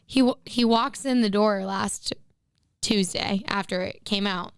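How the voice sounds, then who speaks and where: A young woman co-hosting a casual chat podcast, with a crisp, smooth voice. She is chatty and laughs often as she tells stories. The sound is dry and close, with no room around it. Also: mid-range voice, a little slow, no room sound. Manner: chatty, laughs often, acts out quoted people. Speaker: podcast co-host.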